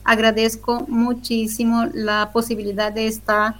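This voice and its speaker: A woman's voice: fairly high pitch, monotone, a little slow, a bit bright. Female panel guest, polite closing, faint hum in the background. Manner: polite, brief thanks.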